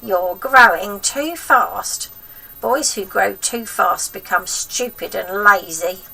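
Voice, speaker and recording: Woman acting a grumpy old grandmother, raised pitch, piercing bright tone, bossy, snappish, storybook character, slightly distant mic. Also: slow, gravelly, slightly rough, exaggerated voice. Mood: scolding. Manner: snappish, scolding, demanding. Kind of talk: acted children's storybook reading.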